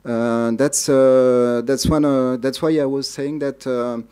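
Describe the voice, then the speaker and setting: Hesitant man with a mellow voice. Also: medium pitch, fairly even intonation, slow, clear, resonant tone. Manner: hesitant, many uh fillers and restarts. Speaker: conference speaker. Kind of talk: conference Q&A answers. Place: large dark conference hall.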